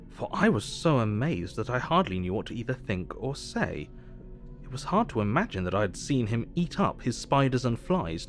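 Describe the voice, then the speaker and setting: An actor playing an earnest asylum doctor narrating his diary in measured, formal English. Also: medium pitch, close to an everyday voice.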